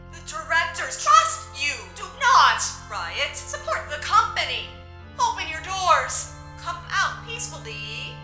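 High, echoing propaganda machine woman. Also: heavily acted. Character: propaganda machine speaking as a reconstructed dead woman leader. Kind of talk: public announcement. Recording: echoey broadcast over loud music.

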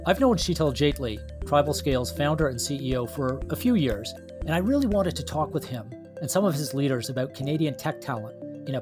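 A man hosting a business podcast, reading a scripted intro and asking his guests friendly questions. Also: mid-range voice. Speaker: podcast host. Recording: music playing under the voice.

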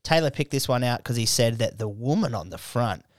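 A man's voice: mid-range voice, animated, fairly quick, dry recording, clean studio sound. A man in his twenties with an Australian accent, hosting a casual, crude podcast chat full of jokes and fillers. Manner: crude, joking, rambling with fillers. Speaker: podcast host. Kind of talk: casual drunk podcast banter.

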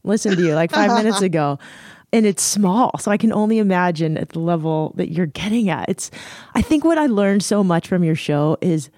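A woman's voice: lowish voice, lively intonation, brisk, darkish tone, no echo, clean studio sound. A woman hosting a podcast interview, gushing with enthusiasm and asking loose, friendly questions. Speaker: podcast host. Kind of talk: casual interview talk.

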